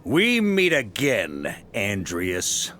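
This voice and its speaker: A man's voice: fairly low pitch, huge pitch swings, drawn-out words, noisy background, exaggerated voice. A man voicing a jovial, confident grand master of a knightly order who gives firm commands. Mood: commanding. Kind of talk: video game voice acting.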